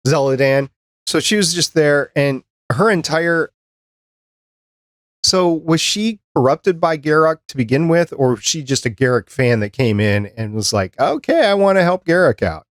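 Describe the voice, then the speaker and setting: A man's voice: a little high, lively intonation, moderate pace, dry recording. Chatty man hosting a casual podcast, dry sound. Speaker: podcast host. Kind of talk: casual review discussion of a cartoon.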